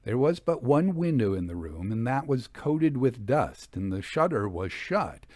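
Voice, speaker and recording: A man reading a Victorian ghost story as scripted narration, in a measured storytelling delivery. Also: mid-range voice, sing-song, a little mellow, dry recording, studio quality. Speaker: audiobook reader.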